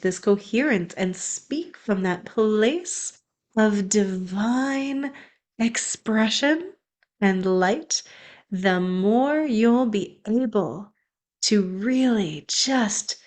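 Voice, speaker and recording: A woman's voice: medium pitch, darkish tone. A young woman, a spiritual coach, speaking in an earnest, flowing, affirming way in an inspirational monologue.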